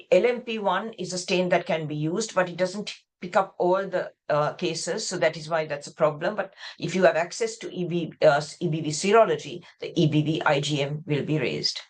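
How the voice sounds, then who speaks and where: A woman from Sri Lanka lecturing as a pathologist over a video call, explaining in a discursive way and often rephrasing mid-sentence. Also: fairly low pitch. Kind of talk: medical lecture with slides.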